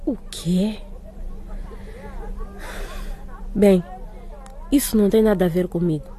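A woman playing a firm, formal female school director in a radio drama, lecturing in measured, authoritative sentences. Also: mid-range voice, mellow, a faint crowd, natural voice. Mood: surprised, brisk.

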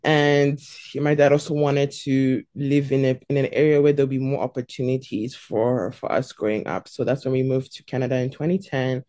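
Thoughtful young woman, mellow voice. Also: extremely low pitch, steady tone, a little fast, few pauses, dry recording, clean studio sound. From South Africa. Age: 20s. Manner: thoughtful, searching for words. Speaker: stylist and guest. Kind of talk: interview answers.

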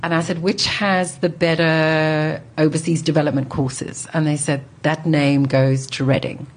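A woman in her sixties telling her life story as an interview guest, articulate and thoughtful, with considered pauses. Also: deep voice, flat delivery. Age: 60s. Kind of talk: reflective personal storytelling in an interview.

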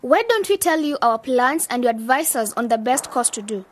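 A woman's voice: on the high side, lively intonation, brisk, a little hiss or hum, lightly acted. A woman acting in a radio drama as a bold, eager young woman, informal and forthright. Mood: frank.

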